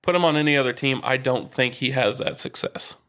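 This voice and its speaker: A man's voice: mid-range voice, fairly quick, a bit bright, smooth. A man co-hosting a sports podcast, trading opinions casually and matter-of-factly with a friend.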